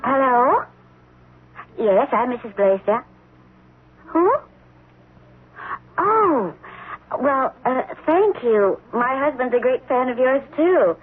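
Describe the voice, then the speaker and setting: An actress playing a devoted, modest and starstruck politician's wife in a radio drama. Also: female voice, high-pitched, very animated, takes it slowly, long pauses, slightly rough, full character voice. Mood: bright, excited. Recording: tinny, phone-like old radio recording.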